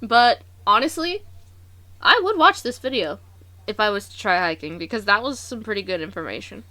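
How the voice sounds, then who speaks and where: A woman hosting a reaction video, commenting casually in an animated, exclamatory, slangy way. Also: medium pitch, normal speed, dry recording.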